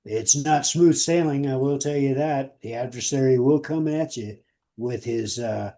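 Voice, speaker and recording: Mellow-voiced man co-hosting a podcast. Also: medium pitch, unhurried. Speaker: podcast co-host. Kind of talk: casual radio show talk with listener appeals.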